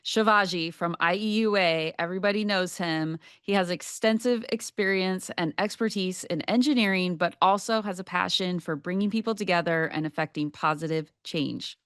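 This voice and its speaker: Friendly woman hosting, clear voice. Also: lowish voice, moderate pace, hardly any pauses, dry recording, studio quality. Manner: warm, friendly, joking. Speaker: podcast host, water agency general manager. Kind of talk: casual podcast interview.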